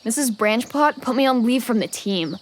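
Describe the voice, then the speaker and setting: A woman's voice: on the high side, expressive, normal speed, slight background noise, lightly acted. A woman playing a blasé middle-school cheerleader in an audio drama, peppering her talk with valley-girl slang. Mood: bummed, sulky. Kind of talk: scripted audio drama acting.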